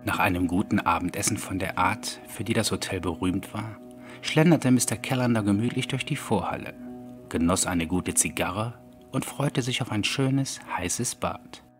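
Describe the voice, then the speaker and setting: A man narrating a scripted horror audiobook in a measured, deliberate storytelling manner. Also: on the low side. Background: soft background music.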